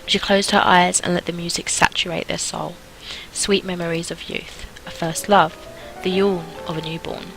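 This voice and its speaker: A woman's voice: fairly low pitch, steady tone, moderate pace, gravelly, hardly any pauses, music in the background, poor audio. A young adult woman reading a visual novel aloud, with casual asides as host of a gaming video.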